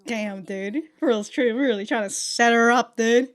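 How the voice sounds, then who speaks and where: A woman's voice: fairly high pitch, unhurried. A woman giving casual reaction commentary, slangy and exclamatory, swearing freely and often breaking into giggles. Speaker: reaction video host.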